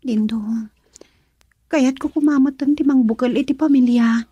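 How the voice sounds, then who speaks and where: A woman's voice: high voice, fairly even intonation, normal speed, warm tone, breathy edge, no room sound, lo-fi sound. A woman playing a frustrated young girlfriend in an Ilocano radio drama, emotional and pleading as she longs to marry.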